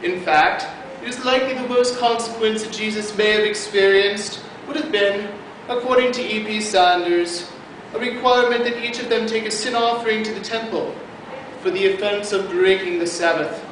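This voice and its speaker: A man's voice: high voice, normal speed. A man narrating a student class project in a matter-of-fact, explanatory way. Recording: very roomy distant noisy home video.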